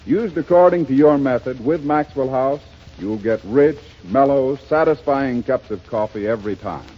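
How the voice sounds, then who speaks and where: An American man working as a radio announcer, delivering a formal, emphatic 1930s sponsor pitch. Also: lively intonation, normal speed. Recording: lo-fi 1930s radio, faint noise.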